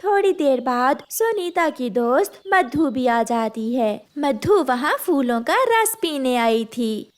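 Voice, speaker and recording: A woman's voice: sits high, very animated, takes it slowly. A woman narrating a scripted children's cartoon story as its storyteller.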